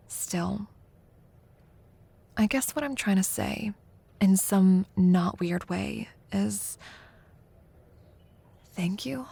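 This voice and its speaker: A young woman playing a competitive college student in an intimate audio roleplay, a teasing, prickly but secretly fond rival who talks haltingly. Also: mid-range voice, gravelly, darkish tone, husky, full character voice. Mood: reluctantly sincere. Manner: teasing, halting, flustered deflection.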